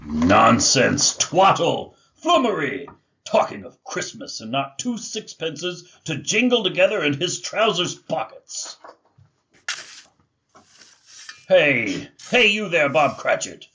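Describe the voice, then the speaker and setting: Casting: icy, grumpy elderly skinflint boss for radio play; high, animated, scornful delivery. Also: male voice, slow pace, highs cut off, a little hiss or hum, full character voice. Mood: scornful, scolding. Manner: curt, scornful, barking. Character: cold, miserly, grumpy old businessman and employer. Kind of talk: family radio drama acting.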